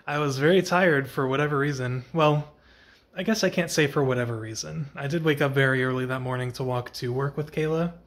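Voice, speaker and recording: A young man chatting casually in a vlog, tired with a slightly scratchy voice, rambling and correcting himself as he goes. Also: medium pitch. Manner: rambling, self-correcting, soft-spoken. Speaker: vlogger. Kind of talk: casual after-work vlog chat.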